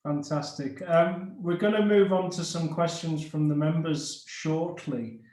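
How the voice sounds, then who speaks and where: A man's voice: on the high side, unhurried, a little mellow. A man hosting an interview over a video call, easygoing with frequent fillers. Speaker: host. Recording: roomy video call audio.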